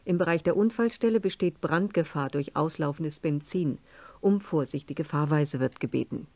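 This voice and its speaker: Matter-of-fact radio traffic announcer. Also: female voice, low voice, moderate pace, mellow. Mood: neutral. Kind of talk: formal read traffic bulletin.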